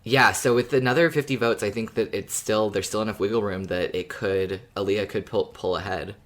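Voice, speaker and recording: Slightly deep man in casual discussion. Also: fairly even intonation, fairly quick, a bit bright. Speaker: podcast co-host. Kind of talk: casual fan discussion chat.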